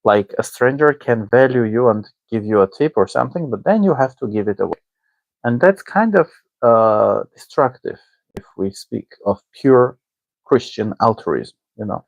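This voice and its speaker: Halting man, native Armenian speaker, dry sound. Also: mid-range voice, expressive, gravelly, no echo, dull audio. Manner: hesitant, halting, with frequent check-in questions. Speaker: online teacher and discussion host. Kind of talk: casual spoken commentary on a book.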